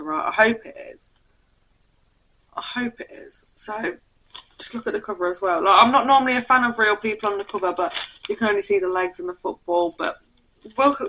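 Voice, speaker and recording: A young woman talks casually through her book haul in a bright, forward voice. She is croaky from a cold and sometimes stumbles over names as she reads. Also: fairly high pitch, lively intonation, brisk, slight background noise, poor audio. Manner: chatty, reads blurbs aloud, stumbles on names. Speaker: book vlogger.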